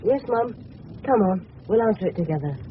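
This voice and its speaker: Well-spoken anxious English schoolboy, radio drama, dull noisy old broadcast. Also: male voice, high voice, lively intonation, fairly quick. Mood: polite. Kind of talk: 1970s radio drama acting.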